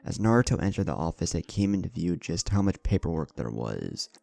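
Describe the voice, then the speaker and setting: A man's voice: low voice, big pitch swings, a little fast, creaky, dark tone, no echo. A man reading a scripted story aloud as its narrator, including the characters' dialogue. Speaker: fan fiction narrator.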